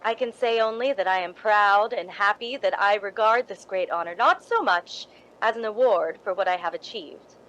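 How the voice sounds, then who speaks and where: A woman playing a scheming, ambitious young stage actress in a radio drama, with deliberate theatrical delivery. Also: mid-range voice, fairly bright tone, lo-fi sound, natural voice. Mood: gracious, measured. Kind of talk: 1950s radio drama acting.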